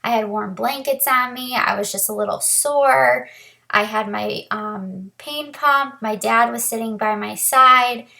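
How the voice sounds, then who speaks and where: Young woman, higher voice with a bright edge, raspy and dry from a recent breathing tube; candid, halting vlog talk. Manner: candid, frequent ums and restarts. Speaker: vlogger sharing her surgery recovery. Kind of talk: casual talk to camera.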